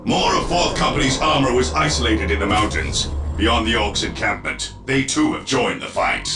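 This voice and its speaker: A man's voice: mid-range voice, big pitch swings, moderate pace, vocal fry. A man voicing a zealous, battle-hardened space marine in a video game, with declamatory military delivery. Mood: resolute. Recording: echoing voice under loud music.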